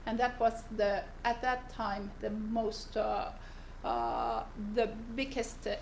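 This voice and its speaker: A woman from northern Finland giving a seminar presentation, unhurried and pausing often to search for her words. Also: fairly high pitch, sing-song, gravelly, a little mellow, slight background noise, poor audio. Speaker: seminar speaker, lawyer. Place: seminar room.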